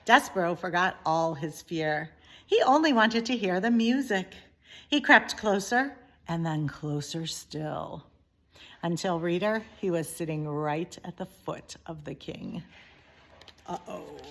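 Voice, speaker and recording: A woman reading a children's story aloud in an expressive, deliberate way with dramatic pauses. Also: fairly low pitch. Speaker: teacher reading a book aloud.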